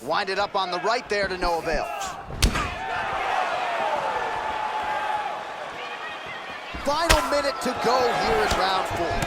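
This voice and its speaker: A man voicing an excitable play-by-play commentator calling a mixed martial arts fight in a video game. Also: sits high. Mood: brisk, engaged. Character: excitable male MMA play-by-play announcer. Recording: loud arena noise and impacts behind.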